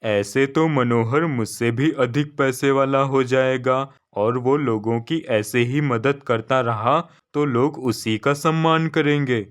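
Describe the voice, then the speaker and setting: A man's voice: mid-range voice, slow, hardly any pauses, close to an everyday voice. A man playing a jealous, scheming village landlord in a cartoon story, brooding and calculating.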